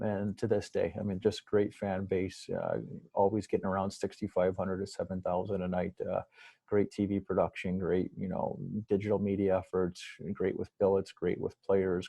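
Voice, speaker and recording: A man's voice: deep voice, gravelly, dark tone, breathy edge, no room sound. An American man from Metro Detroit talking casually as a guest in a podcast interview. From Metro Detroit, USA. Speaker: podcast guest, sports broadcaster.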